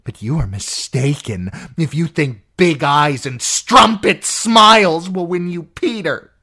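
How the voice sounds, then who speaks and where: A shrill, jealous noble lady. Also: very animated, takes it slowly. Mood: furious. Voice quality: shrill, piercing. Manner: accusing, spiteful, rising into screeching fury. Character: jealous, unhinged middle-aged noblewoman. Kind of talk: audiobook character voice.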